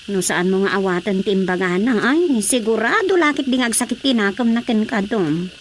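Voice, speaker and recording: A woman's voice: mid-range voice, expressive, fairly quick, a little hiss or hum, natural voice. An actress playing an earnest young woman who speaks respectfully to her mother in a radio drama. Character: young woman, devoted daughter. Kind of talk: Ilocano radio drama acting.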